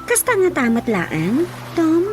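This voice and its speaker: Worried skeptical filipino village woman, radio drama, high lively voice, music behind. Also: slow pace, heavily acted. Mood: doubtful. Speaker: actor. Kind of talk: Ilocano radio drama acting.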